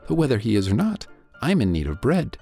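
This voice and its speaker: A man's voice: medium pitch, wildly swooping pitch, fairly quick, vocal fry, mellow, soft background music, voice pushed up, exaggerated voice. A man voicing a naive, earnest young man who speaks modestly, in a raised voice. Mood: hasty.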